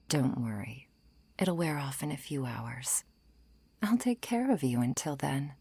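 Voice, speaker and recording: A woman playing a seductive, menacing undead vampire in an intimate ASMR roleplay, coaxing and softly threatening, often slipping into whispers. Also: exaggerated voice. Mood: teasing, hungry. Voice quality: low, breathy, creaky.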